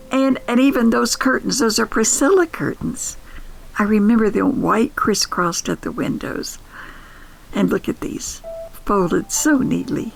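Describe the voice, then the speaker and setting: An older woman telling nostalgic first-person stories in an intimate, wistful, sentimental manner, with musing pauses. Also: on the high side, creaky, a little hiss or hum, low-quality recording. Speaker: podcast host and storyteller.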